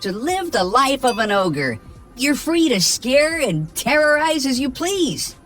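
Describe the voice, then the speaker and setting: Reader doing sly little trickster guy from a storybook, high and sing-song, soft music under it. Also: very animated, measured pace, exaggerated voice. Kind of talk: storybook read-aloud.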